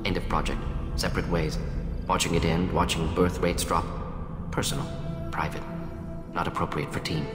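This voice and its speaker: Man acting clipped, low-voiced alien scientist in echoing hall. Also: fairly even intonation, normal speed, long pauses, close to an everyday voice. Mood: subdued, guarded. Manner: clipped telegraphic phrases, drops small words. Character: fast-thinking alien scientist doctor, proud, conflicted, defensive. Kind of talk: dramatic video game dialogue. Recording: echoey hall reverb, music behind.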